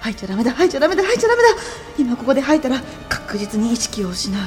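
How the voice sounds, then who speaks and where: Lady acting a haughty shrewd noblewoman, highish voice, theatrical, faint music behind. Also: very animated, rapid-fire. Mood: desperate. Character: proud, shrewd noblewoman, elder sister vying as heir. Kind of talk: voice drama acting.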